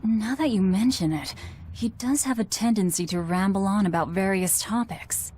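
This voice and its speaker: A woman's voice: mid-range voice, moderate pace, a little mellow, husky, lightly acted. A woman voicing a cool, sardonic young woman in an English anime game dub, dry and blunt in delivery.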